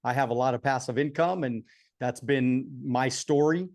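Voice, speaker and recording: A man's voice: medium pitch, normal speed, darkish tone. A man with an American accent hosting a casual interview podcast, chatting informally in a buddy-like way and often repeating words as he goes. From Southern California, US. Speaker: podcast host.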